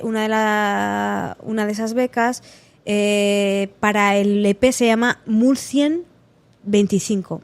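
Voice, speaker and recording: A woman from Catalonia with a Spanish accent, a singer chatting as a podcast guest, telling stories animatedly and acting out what people said, with frequent restarts. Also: mid-range voice. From Catalonia, Spain. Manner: lively storyteller, acts out quotes, frequent restarts and laughter. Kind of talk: casual interview chat.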